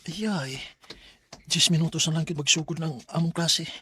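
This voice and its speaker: A man's voice: fairly high pitch, fairly even intonation, moderate pace, sharp tone. A man playing an earnest, hesitant student who is smitten and dreams of law, acted in Bisaya radio drama style. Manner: hesitant, stammering. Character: earnest male student, studious, smitten aspiring lawyer. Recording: music under the dialogue.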